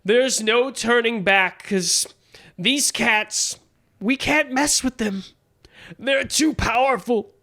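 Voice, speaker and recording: A man's voice: very high-pitched, slow, bright, forceful tone, exaggerated voice. A man playing an earnest, anxious songwriter in a comedic audio drama, pleading melodramatically. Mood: worried, pleading. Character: earnest, anxious songwriter seeking a friend's help.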